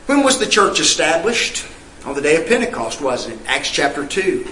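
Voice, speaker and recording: Emphatic man preaching, high bright voice. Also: sing-song, moderate pace, echoey room, slight background noise, poor audio. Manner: emphatic, rising and falling delivery with rhetorical questions; reads scripture in King James wording. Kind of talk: sermon.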